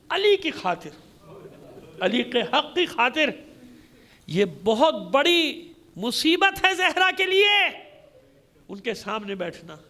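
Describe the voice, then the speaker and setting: A man's voice: high-pitched, sharp tone. A man with a Pakistani Urdu accent delivers a mourning sermon as a cleric, telling the story in a dramatic, rhetorical way with repeated phrases. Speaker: Shia cleric reciting a majlis. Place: congregation hall.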